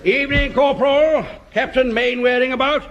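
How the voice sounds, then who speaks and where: Blustery posh old english officer, condescending, very high voice, faint hiss, radio comedy. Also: male voice, fairly even intonation, normal speed, dull audio. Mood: hearty. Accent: upper-class English. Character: blustering, snobbish older male army officer, overbearing old bore. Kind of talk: broadcast sitcom acting.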